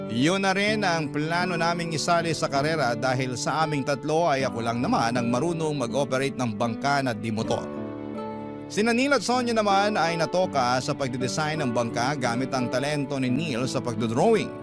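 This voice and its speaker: A man's voice: a little high, close to an everyday voice. An actor narrating as Makoy, telling his story steadily in a radio drama. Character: Makoy as adult narrator looking back. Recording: guitar music under narration.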